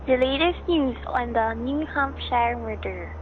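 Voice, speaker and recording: A sober, deliberate newsreader played by an actress with a high voice, reading a formal bulletin. She sounds tinny, as if from a small radio speaker. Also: lively intonation, soft background music. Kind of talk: radio news bulletin in a drama.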